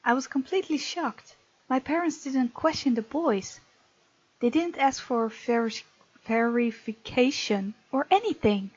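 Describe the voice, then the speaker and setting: A woman's voice: high voice, measured pace, breathy edge, no room sound, highs cut off. A woman hosting a gaming video, expressively reading game text aloud with casual, playful commentary.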